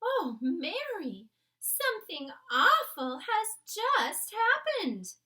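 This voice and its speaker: A woman voicing a refined, distressed queen from a storybook, with emphatic, drawn-out genteel exclamations. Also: extremely high pitch, wildly swooping pitch, bright, forceful tone, voice pushed up, full character voice. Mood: shaken, dramatic.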